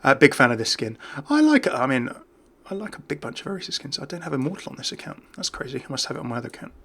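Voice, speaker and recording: A man's voice: medium pitch, lively intonation, very fast, vocal fry, sharp tone, a little hiss or hum. A man giving casual, jokey commentary as a gaming video host. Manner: chatty, jokey.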